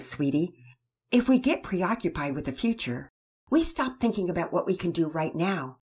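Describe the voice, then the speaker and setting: Storybook dad, gentle and preachy, voiced by a breathy female narrator. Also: fairly low pitch. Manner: gentle, instructive, scripture-quoting. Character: devout father, patient and preaching.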